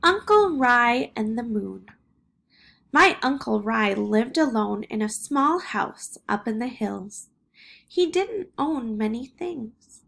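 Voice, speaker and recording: A woman reading a children's picture book aloud in an expressive way. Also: on the high side, slow, creaky. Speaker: storybook reader.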